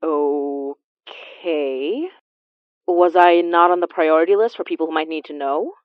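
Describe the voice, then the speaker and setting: A woman's voice: sits low, a little slow, smooth, dry recording, narrow, tinny audio. An actress playing an awkward, guarded woman investigator with dry wit and a hesitant way of talking in an audio drama. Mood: uneasy. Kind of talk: naturalistic audio drama acting.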